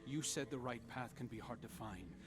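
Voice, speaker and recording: A man playing a principled young rebel with a guilty conscience in a video game, earnest and quietly defiant. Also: mid-range voice, normal speed, loud music behind the voice, natural voice. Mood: earnest defiance. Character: remorseful but principled young male rebel. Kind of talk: dramatic video game acting.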